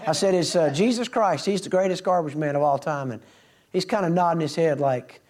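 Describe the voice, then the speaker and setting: A man's voice: fairly high pitch, fairly quick, a little mellow. A middle-aged man, a preacher telling folksy stories to his congregation and acting out the dialogue. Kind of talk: storytelling sermon to a congregation.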